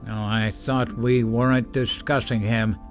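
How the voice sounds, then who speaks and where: A man's voice: mid-range voice, flat delivery, measured pace, faint music behind, close to an everyday voice. An actor playing an anxious, evasive middle-aged father and war official in a radio drama, speaking hesitantly and with reserve. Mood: guarded.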